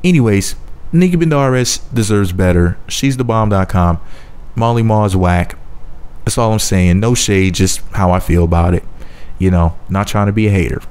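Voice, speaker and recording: A man's voice: lowish voice, moderate pace, darkish tone, clean tone. A man giving casual, sarcastic celebrity gossip commentary in a mocking, profane rant. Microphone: voice-over.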